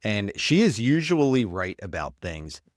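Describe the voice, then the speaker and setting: A man's voice: fairly low pitch, very animated, unhurried, no room sound, clean studio sound. A man hosting a podcast, sounding congested and stuffed up, rambling and blunt in casual commentary. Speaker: podcast host, paramedic.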